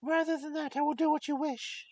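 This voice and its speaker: A man voicing a tearful, pleading young princess in a high strained voice while reading a fairy tale aloud. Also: steady tone, brisk, no room sound, far from the mic. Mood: despairing.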